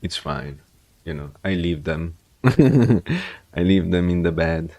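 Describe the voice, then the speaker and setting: Male streamer with a low voice, dry close audio. Unhurried and reflective, rambles through advice. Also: animated, mellow, no echo. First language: English. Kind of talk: casual livestream chat.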